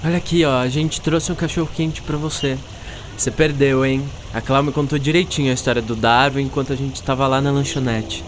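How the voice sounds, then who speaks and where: A man's voice: mid-range voice, steady tone, a little fast, slight background noise, close to an everyday voice. A Brazilian man playing a teasing, easygoing young companion in a radio drama, speaking colloquially.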